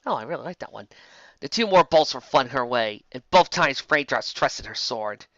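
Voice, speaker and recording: A man reading fantasy fiction aloud as an expressive amateur storyteller, now and then stumbling over a word. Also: medium pitch, normal speed, no echo, highs cut off. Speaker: story narrator.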